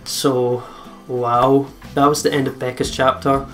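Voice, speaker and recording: Casting: gamer host, medium pitch, casual and sweary commentary, faint music behind. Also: level tone, measured pace, fairly bright tone. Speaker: let's play host. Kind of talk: casual game commentary and script reading.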